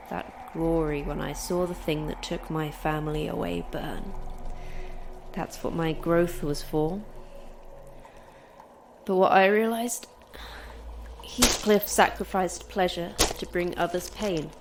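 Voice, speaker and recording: A woman's voice: fairly low pitch, measured pace, noisy background. A woman playing an injured, stubborn fighter in an audio drama, terse, dry and defiant. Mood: resolute. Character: injured, concussed, stubborn female fighter trapped by a monster.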